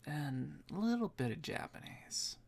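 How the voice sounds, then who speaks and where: Guy streaming, slow gravelly voice, mumbles to himself n reads chat. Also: on the high side, very animated. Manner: mutters quietly to himself, answers chat. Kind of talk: casual stream chat while editing.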